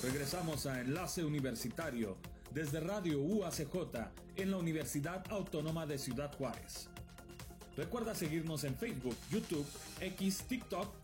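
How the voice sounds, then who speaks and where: A man reading a polished radio station promo. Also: on the high side. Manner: polished announcer delivery. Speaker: radio announcer. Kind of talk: promo announcement.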